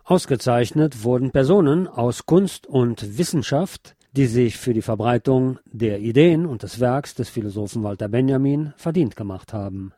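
A man presents a scripted cultural report in the role of radio host. His voice is a little husky and sits at a medium pitch. The sound is dry and close, without room reflections. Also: expressive, moderate pace, hardly any pauses, warm tone, no echo.

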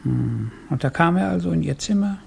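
An actor playing a courteous but coldly menacing Tsarist police chief in a German radio play, formal, probing and gravelly. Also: male voice, a little high, expressive, brisk, full character voice. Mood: quietly menacing.

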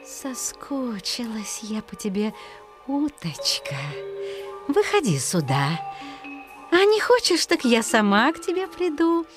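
A mocking fox villainess from a storybook audio drama. She wheedles with false sweetness and swings widely in pitch, drawing out her taunts slowly. Faint music plays behind her. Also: big pitch swings. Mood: wheedling. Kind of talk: audio play fairy-tale acting.

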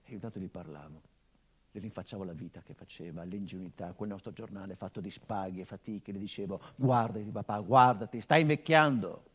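Low-voiced middle-aged son, bitterly reflective. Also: male voice, husky. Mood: rising reproach. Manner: measured, short weighted phrases with swelling emphasis. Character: middle-aged son remembering his dead father, restrained, bitter. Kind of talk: theatrical monologue, reflective narration.

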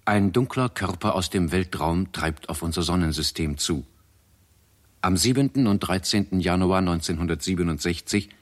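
Man, very deep voice, formal scripted narration, factual, measured, 1960s radio drama.

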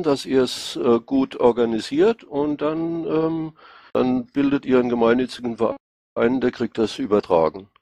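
A middle-aged man speaks with a Rhine-Hessian accent that mixes Hessian and Palatine. His pitch is average and his delivery is halting and colloquial, like a candidate talking off the cuff in an online voice chat. The sound is dry and close, without room echo. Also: darkish tone. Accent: Rhine-Hessian, mixing Hessian and Palatine. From Rhine-Hesse, Germany. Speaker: political candidate answering questions. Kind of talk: informal spontaneous self-presentation.

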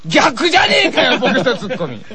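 High-pitched, animated man doing comedy banter. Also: moderate pace, a crowd in the background. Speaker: radio show performer.

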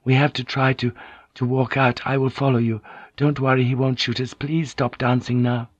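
A man voicing a quick-witted Japanese noblewoman, urgent and deferentially pleading. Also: mid-range voice, little pitch movement, dry recording, dull audio, pitched above the performer's own voice, natural voice. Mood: hurried, pleading.

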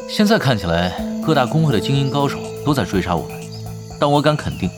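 A man's voice: medium pitch, natural voice. A man acting in an audio drama as a laid-back, shrewd veteran gamer and team leader, matter-of-fact and understated. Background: background music.

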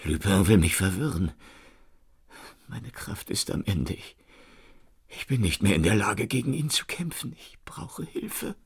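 Verwirrten inspektor, langsam und schwer, stimme geht auf und ab. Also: male voice, lowish voice, very animated, unhurried, creaky, pauses often, breathy edge, heavily acted. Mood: bewildered. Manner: blustering then weary and bewildered. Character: gruff police inspector, middle-aged man, easily rattled. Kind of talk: radio play acting.

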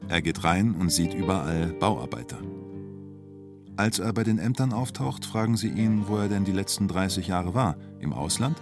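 Low-voiced male documentary narrator. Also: moderate pace, music in the background.

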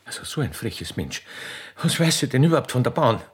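A man's voice: medium pitch, sing-song, a little fast, natural voice. A man voicing a fat, bossy middle-aged widow with an Austrian accent, fussy and indignant, in a comic radio play. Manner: breathless, fussy, repetitive scolding.

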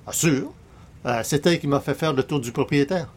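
A man's voice: a little high, fairly quick, lightly acted. A man acting as a casual, matter-of-fact party guest in a radio comedy, speaking in a hesitant, conversational way. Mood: curious.

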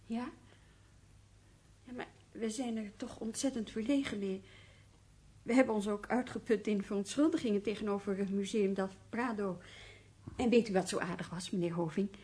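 An actress as a courteous, slightly husky female official. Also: mid-range voice, normal speed, pauses often, dark tone, lightly acted. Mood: courteous, attentive. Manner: courteous, formal, politely inquisitive. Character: polite, attentive female museum official receiving a guest. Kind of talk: naturalistic radio drama acting.

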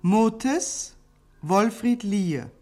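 A woman reading a formal, scripted cast announcement as a radio announcer in a measured manner. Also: mid-range voice, lively intonation, mellow, faint music behind.

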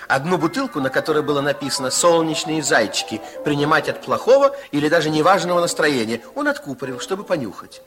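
A man narrating a children's radio play in an expressive storybook style, with dramatic pauses. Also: on the high side. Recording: old 1960s radio recording with music.

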